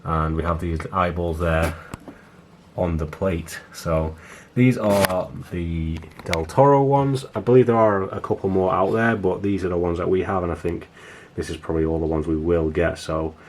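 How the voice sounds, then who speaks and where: Hoarse low-voiced man chatting casually. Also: normal speed, slight background noise. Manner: rambling, loose and chatty, with frequent restarts. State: hoarse, losing his voice. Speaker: toy collector vlogger. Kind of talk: casual show-and-tell of a collection.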